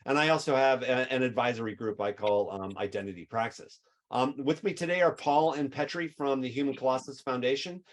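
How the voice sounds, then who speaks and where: A man's voice: mid-range voice, a little fast. A man hosting a webinar, upbeat and enthusiastic, with frequent fillers. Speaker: webinar host.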